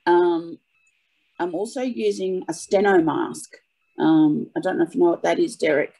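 Gravelly, husky woman sharing her experience. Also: on the low side, steady tone, moderate pace, mellow, rough recording. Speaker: webinar participant, audio typist. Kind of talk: casual spoken account of own experience.

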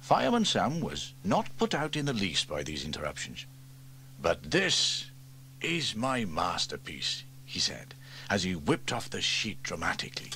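A man narrating a children's storybook in a gentle, expressive way. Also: deep voice, moderate pace, slight background noise. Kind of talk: storybook reading.

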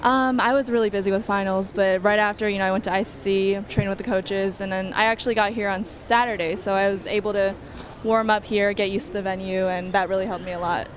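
A young woman athlete answers interview questions into a handheld mic. She is modest and giggles lightly. Clear background noise runs behind her. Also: mid-range voice, steady tone, brisk. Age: young adult. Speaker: athlete guest. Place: studio set at a convention center.

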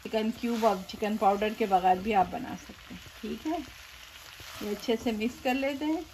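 Woman host, fairly high voice, calm step-by-step kitchen instructions, frying noise behind. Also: moderate pace, low-quality recording. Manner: patient, repeats steps, addresses viewers directly. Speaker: cooking show host. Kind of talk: step-by-step cooking demonstration. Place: home kitchen, voice over stovetop sizzling. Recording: audible frying and stirring noise.

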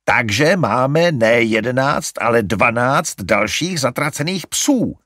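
A man's voice: mid-range voice, expressive, a bit bright, no room sound, clean studio sound, pitched above the performer's own voice. A man voicing an exasperated young man ranting indignantly at his family.

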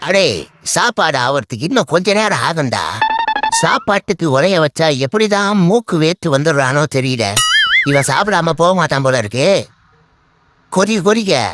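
A man's voice: a little high, expressive, normal speed, lightly acted. A man voicing a cheeky, boastful male animal in a comic dub, with colloquial, mock-dramatic delivery. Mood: grumbling. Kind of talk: comic dubbing of funny animal clips. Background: music bed.

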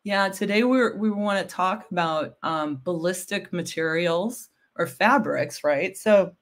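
A middle-aged woman, a bit hoarse from a cold, explaining textile materials on a video call, often checking with "right?". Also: on the low side, a little slow. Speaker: guest expert on textiles. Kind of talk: casual livestream chat. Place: home room with window blinds.

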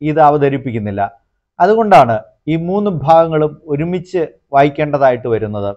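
A middle-aged priest, giving a measured homily that explains scripture in short, paused phrases. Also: male voice, fairly high pitch, darkish tone. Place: small indoor room, plain backdrop. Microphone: clip-on lapel mic. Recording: slightly dull, lo-fi lapel recording.

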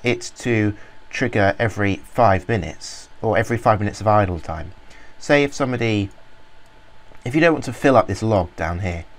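A man casually talking through a software demo, rambling with many fillers and restarts. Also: lowish voice, normal speed. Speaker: software reviewer. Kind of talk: informal screen-recorded walkthrough.

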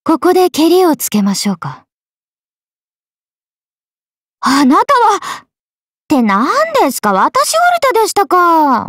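High-voiced anime swordswoman. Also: no room sound, studio quality, exaggerated voice. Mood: indignant. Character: swordswomen: a lively young one and her stoic alter self. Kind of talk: video game character voice lines.

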